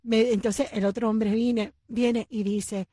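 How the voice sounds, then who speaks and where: Chatty Cuban-accented woman, husky voice. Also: fairly high pitch, level tone, slow pace, warm tone, no echo, studio quality. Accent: Cuban Spanish. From United States. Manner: chatty storyteller, laughs often. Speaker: radio show co-host. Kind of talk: casual studio banter.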